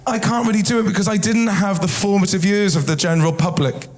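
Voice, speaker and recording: A man doing a stand-up comedy routine on a theatre stage, energetic and shouting his punchlines. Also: high-pitched, fast. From Wales. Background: loud audience laughter.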